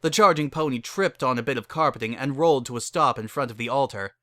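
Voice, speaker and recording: A man's voice: medium pitch, quick pace, bright, forceful tone, dry recording. A man narrating a piece of fan fiction as a dramatic reading. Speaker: story narrator.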